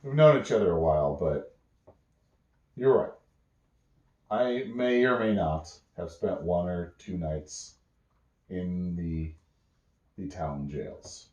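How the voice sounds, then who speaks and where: A man voicing a cagey, boastful petty thief in a bedtime story, talking in a casual, halting, guarded way. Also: sits low, darkish tone, lo-fi sound. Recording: roomy, echoey home recording.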